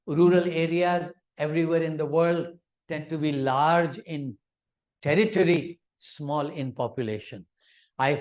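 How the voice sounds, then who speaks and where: A man's voice: on the high side, a little mellow, lo-fi sound. A man whose first language is Urdu, lecturing on law in an explanatory way with examples and frequent fillers. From Malaysia. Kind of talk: academic lecture.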